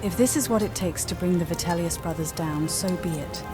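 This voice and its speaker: A woman's voice: on the low side, brisk, over music, close to an everyday voice. An actress playing a poised, determined young Roman noblewoman in scripted game dialogue. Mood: resolute. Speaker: protagonist of a role-playing game.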